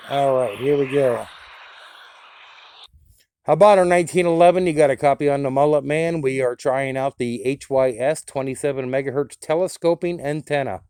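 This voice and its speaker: An American man speaks in a casual, plain-spoken way, like a hobbyist showing off his gear. His pitch is ordinary for a man. He sounds enthusiastic as he reviews and checks radio equipment. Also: a little mellow.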